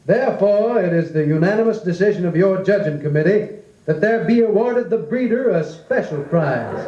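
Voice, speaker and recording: A folksy American fair judge, high in pitch and kindly as he orates. It is vintage radio drama, echoey and dull in sound. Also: lively intonation, normal speed, warm tone. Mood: proud, formal. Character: genial, folksy county fair livestock judge. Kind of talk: 1940s radio drama acting. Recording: echoey, dull 1940s record.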